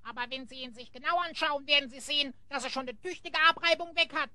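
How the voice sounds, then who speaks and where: An actress playing a cheeky, sharp-tongued young adventurer's companion in a German children's audio drama, pert and dry-witted. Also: female voice, sits high, fast talker. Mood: wry.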